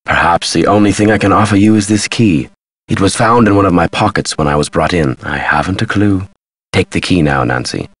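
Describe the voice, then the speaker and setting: An actor playing an eccentric, agitated scholar with memory loss in a video game, speaking theatrically with a husky voice. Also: male voice, sits low, brisk, fairly bright tone, no room sound. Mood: pleading, earnest. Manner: theatrical, emphatic, swinging delivery. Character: eccentric, agitated male amnesiac Maya scholar.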